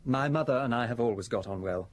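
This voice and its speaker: An actor playing a fastidious, composed man in his thirties under police questioning, with a husky, precise voice in a radio drama. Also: mid-range voice, a little hiss or hum, lightly acted. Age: 30s.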